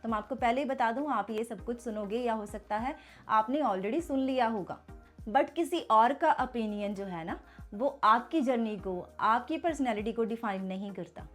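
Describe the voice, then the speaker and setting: A woman's voice: on the high side, normal speed, faint music behind. A woman teaching English, explaining step by step with patient, encouraging rhetorical questions and switching between Hindi and English. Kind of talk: casual explanatory lesson, mixing Hindi and English.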